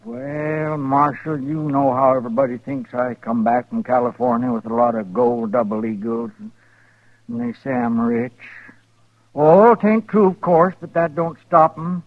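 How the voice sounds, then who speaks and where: An actor playing a meek, lonely rancher with a homespun, hesitant manner and a rural Western American accent in an old radio drama. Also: male voice, mid-range voice, normal speed, no room sound, close to an everyday voice. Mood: plaintive. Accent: rural American West. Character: folksy, lonely male rancher, meek old-West prospector. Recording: dry, muffled old radio recording.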